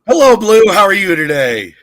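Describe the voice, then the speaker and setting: A man's voice: high-pitched, very animated, moderate pace, a bit bright, dry recording. A man co-hosting a casual live-stream chat over a video call, teasing and joking with the host.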